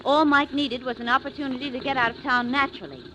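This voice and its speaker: An actress playing a quick-witted, teasing girlfriend in a 1940s radio drama. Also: sits high, fairly even intonation, sharp tone, low-quality recording. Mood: eager. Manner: playful, teasing. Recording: old radio recording with faint hiss.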